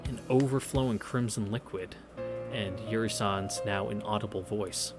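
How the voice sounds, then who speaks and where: A man with a fairly low voice reads a visual novel aloud for an audience. He slips between story narration and casual, irreverent, sweary commentary. Game music plays clearly behind him. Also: moderate pace. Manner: irreverent, profane. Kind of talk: read-through with casual asides.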